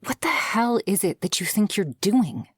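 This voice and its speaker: A woman's voice: mid-range voice, big pitch swings, moderate pace, husky, dry recording, clean studio sound. A woman playing a tough, sassy shark-girl girlfriend in an intimate ASMR roleplay, blunt and sardonic. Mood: exasperated, scolding.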